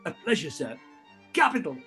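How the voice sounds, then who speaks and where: A jovial, meddling older gentleman of a period radio play, acted by a man. The voice is a little husky, with hearty, gushing emphasis. Faint music sounds behind. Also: a little high, very animated, normal speed, exaggerated voice. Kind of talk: period drama live radio-play reading.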